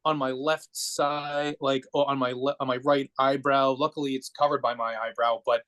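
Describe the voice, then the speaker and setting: A forty-year-old American man shares personal stories as an interview guest. He speaks in a medium-pitched voice, casual and matter-of-fact, wandering through his phrases as he goes. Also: little pitch movement, moderate pace, a bit bright. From Connecticut, United States. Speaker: podcast guest.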